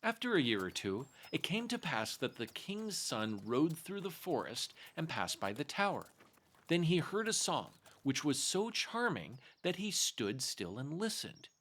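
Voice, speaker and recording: A man narrating a fairy tale aloud as a storyteller, expressive and measured in his delivery. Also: on the high side.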